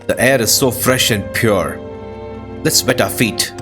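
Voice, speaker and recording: A man's voice: medium pitch, a little slow. A man narrating a fantasy game playthrough in a scripted, storytelling style. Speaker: gaming video narrator. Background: music.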